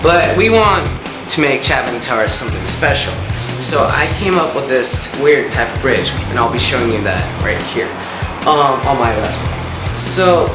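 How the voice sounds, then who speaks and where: Man with a Russian accent, hesitant and full of fillers, walking through a guitar build; echoey room, loud music under him. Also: medium pitch, expressive, normal speed. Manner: hesitant, many fillers and restarts. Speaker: guitar design contest entrant. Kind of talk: casual explanation of a guitar design.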